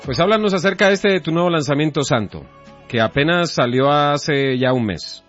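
A man's voice: on the high side, lively intonation, moderate pace, fairly bright tone, muffled recording, soft background music. A man hosting a radio interview, introducing a guest and asking questions. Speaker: radio host.

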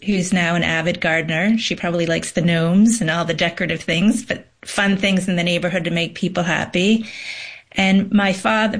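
A thoughtful middle-aged woman from Massachusetts answers a panel question in a reflective, conversational way. She has a slightly low voice and stops for small ums as she gathers her thoughts. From Massachusetts, USA. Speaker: panel guest, garden club board member.

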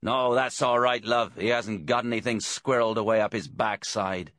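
A man's voice: lowish voice, fairly even intonation, normal speed, fairly bright tone, no echo, studio quality, voice pushed up, lightly acted. A man voicing a smug, cynical, corrupt police inspector with a casual, taunting manner in a crime audiobook.

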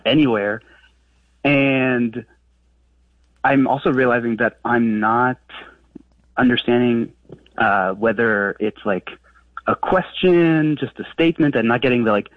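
Male caller, French native speaker, casual and hesitant, mid-pitched, muffled distant phone sound. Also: no room sound. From France. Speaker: radio show caller.

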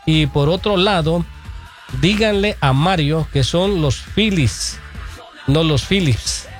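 A man's voice: on the high side, slow pace, faint music behind. A man co-hosting a sports talk show, reading viewer messages aloud and agreeing often with his partner. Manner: agreeable, frequent back-channel "ujú".

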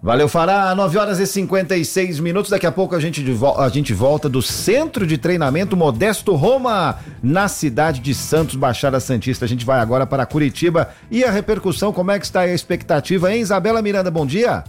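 A male news anchor with a lively, swinging delivery, moving briskly between reports and dropping light jokes along the way. Soft music runs under his voice. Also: fairly high pitch. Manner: brisk and jokey, quick handoffs. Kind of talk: live sports news presenting.

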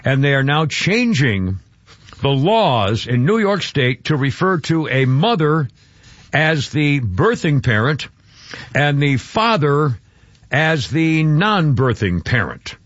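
A man's voice: fairly high pitch, sing-song, slow pace, fairly bright tone, no room sound. A man hosting a talk radio show, rambling with many restarts and fillers in casual opinionated chat. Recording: dull lo-fi radio broadcast, dry.

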